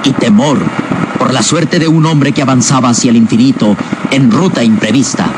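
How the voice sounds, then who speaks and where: A man's voice: medium pitch, moderate pace, very noisy. A man narrating a radio drama serial in a dramatic, scripted storytelling style. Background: loud music.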